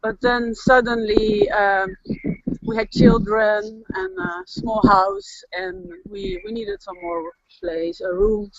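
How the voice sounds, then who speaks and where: A middle-aged woman chatting in a relaxed way in an interview, thinking aloud about where she lives. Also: extremely high pitch, slow, gravelly. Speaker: local resident. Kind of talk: casual interview answers. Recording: dry, dull lo-fi audio.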